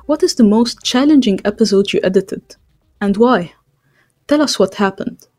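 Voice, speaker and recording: A woman's voice: mid-range voice, expressive, normal speed, a little mellow, faint music behind. A woman interviewer reading out short scripted questions.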